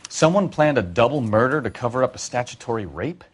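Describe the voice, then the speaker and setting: A man's voice: medium pitch, animated, fairly quick, husky. A man voicing a blunt, cynical police detective in a video game, with a sardonic manner. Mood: skeptical.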